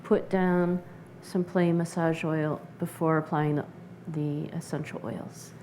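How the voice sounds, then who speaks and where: A woman guest on a studio talk show, explaining her health practice in a steady, informative way. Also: sits low, slow, noisy background. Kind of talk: studio interview.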